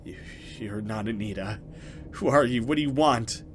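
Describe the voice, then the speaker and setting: A man's voice: medium pitch, normal speed, slight background noise, close to an everyday voice. A man voicing a frightened young woman in a horror visual novel, urgent, anxious and pleading. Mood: anxious questioning.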